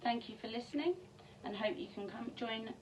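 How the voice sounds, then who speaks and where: Mellow woman reading a bedtime story. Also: on the high side, moderate pace. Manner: addressing young children, animated on story lines. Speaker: primary school teacher reading a bedtime story. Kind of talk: expressive children's read-aloud. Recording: distant mic, dull sound, faint noise.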